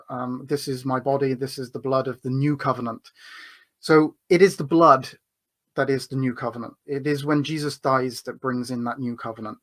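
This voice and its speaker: A man's voice: mid-range voice, fairly bright tone. A man arguing in a debate, hesitant, with many filler words and restarts. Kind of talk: debate argument.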